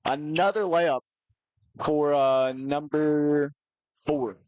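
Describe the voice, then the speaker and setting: A man commenting on a school basketball game in a relaxed, rambling way, full of fillers. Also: a little high, extremely slow, clear, resonant tone, no room sound, rough recording. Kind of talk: casual sports commentary.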